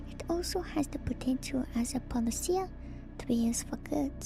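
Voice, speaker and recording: A woman streamer whispering softly and breathily in ASMR style, reading aloud and musing in casual asides close to the microphone. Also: sits high, creaky, poor audio. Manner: slow, musing, conversational asides. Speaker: streamer hosting a lore read-through. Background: music.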